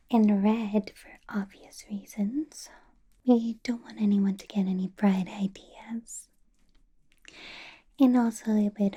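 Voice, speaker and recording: Woman for a possessive vampire boss, ASMR: slow, husky whisper, teasing control. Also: mid-range voice. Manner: soft whispering, playful and controlling. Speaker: ASMR artist. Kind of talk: ASMR roleplay.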